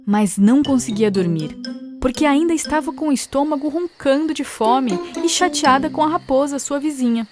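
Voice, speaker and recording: A woman's voice: sits high, expressive, normal speed. A woman with a Brazilian accent narrating a scripted fable for children as a storyteller. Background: music.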